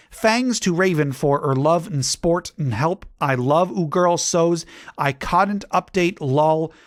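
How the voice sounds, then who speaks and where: A man's voice: no echo, studio quality, natural voice. A man voicing a ranting, angsty teenage girl fanfic author who lisps and swears. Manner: shrill, lisping "oo" for "you", swears.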